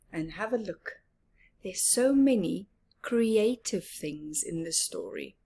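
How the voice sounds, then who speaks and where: A woman's voice: on the low side, darkish tone. A woman hosting storytime, reading aloud expressively to young children and adding chatty asides. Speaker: storytime host.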